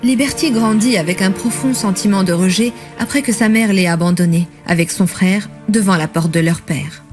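A woman's voice: medium pitch, moderate pace, a little mellow. A woman reading scripted documentary narration about a person's life. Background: background music.

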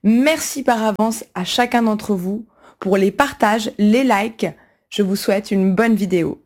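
A woman's voice: medium pitch, a little slow, darkish tone. A woman presenter reading a scripted announcement to viewers. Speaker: presenter introducing the video. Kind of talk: scripted thank-you announcement.

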